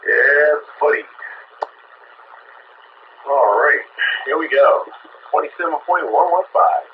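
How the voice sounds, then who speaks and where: A man at a radio chats casually in a slightly raised, gravelly voice. The recording is dull, with faint noise in it. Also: fairly high pitch, takes it slowly. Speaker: radio operator.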